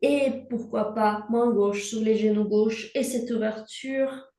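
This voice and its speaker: A young woman guiding a prenatal yoga class in slow, calm cues with breath prompts, recorded from a laptop mic across the room. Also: fairly high pitch. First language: Portuguese. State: pregnant. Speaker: yoga instructor. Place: room at home, instructing from a mat. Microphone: laptop mic at a distance. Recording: roomy, from far off the mic.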